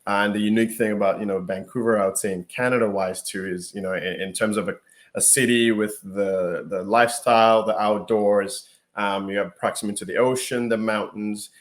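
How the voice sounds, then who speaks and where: Low-voiced man reacting casually. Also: fairly even intonation. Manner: halting, repeats words while thinking. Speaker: realtor co-host.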